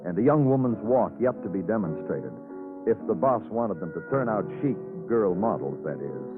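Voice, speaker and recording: Brooding low-voiced radio detective. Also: male voice, very animated, normal speed. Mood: brooding, lyrical. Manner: brooding, terse, lyrical phrasing. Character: moody, hard-boiled male police detective narrating his case. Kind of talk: 1950s radio crime drama acting, poetic clipped narration. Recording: tinny old radio broadcast with music.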